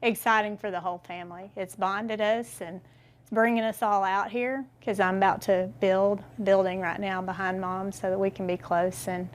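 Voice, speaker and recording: A woman's voice: medium pitch, flat delivery, normal speed. A woman, the owner's daughter, giving earnest, eager interview answers.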